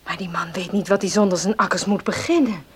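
An actress in a Dutch radio play playing a devout, gentle farmer's wife, soft-spoken and earnest. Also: female voice, medium pitch, fairly even intonation, fairly quick, slightly rough. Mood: concerned.

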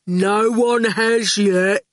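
A woman voicing a huge, sly male thug who talks slowly and calmly in clipped phrases. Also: mid-range voice, no echo, voice pushed up. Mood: menacing calm. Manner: clipped speech that drops its subjects, placid and slow. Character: huge sly menacing male thug, calm and slow-witted.

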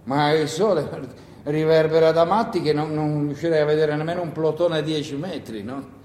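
An actor as a weary, rambling partisan in an echoey room. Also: male voice, fairly high pitch, normal speed, a little mellow, close to an everyday voice. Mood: agitated. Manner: rambling, muttering, self-interrupting, exclaiming. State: tired. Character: weary, hungry male wartime partisan talking to himself. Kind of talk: theatrical radio monologue. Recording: roomy echo with faint noise.

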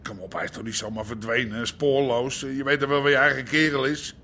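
A man playing a gruff, blunt old-school crime boss and grandfather in a radio drama. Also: medium pitch, steady tone, brisk, sharp tone, slight background noise. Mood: probing. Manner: blunt, informal street talk. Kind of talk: naturalistic radio drama acting.

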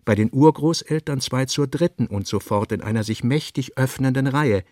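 A man reading essayistic literary prose for radio with a measured, deliberate delivery. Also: medium pitch, a little mellow, no echo, studio quality. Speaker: narrator.

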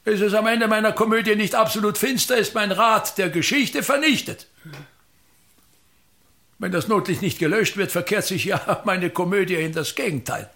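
An actor playing a pompous aging state actor in a radio play, declaiming and grumbling bitterly, swinging between mutters and outbursts. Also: male voice, sits high, moderate pace, sharp tone, heavily acted. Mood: imperious. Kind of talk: theatrical radio-play monologue.

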